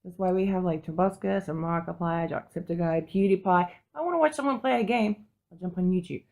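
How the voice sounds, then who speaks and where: A woman's voice: on the low side, a little fast, creaky, a little mellow. A woman co-hosting a podcast, talking casually in an opinionated, informal way with some swearing. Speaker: podcast co-host. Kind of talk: casual panel discussion.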